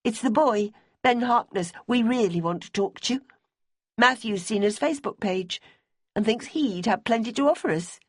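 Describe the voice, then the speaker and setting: Voiced by a woman narrator, this is a high-pitched, anxious young widow from a storybook, urgent and pleading. Her breathy voice sits close and dry, with no room around it. She swings up and down as she pushes her case. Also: animated, normal speed, no echo. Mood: bitter.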